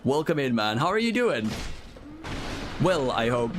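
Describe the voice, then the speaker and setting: A man's voice: medium pitch, sing-song, measured pace, background noise. A man from Finland, chatting as a streamer over live gameplay and reading chat aloud, often apologizing.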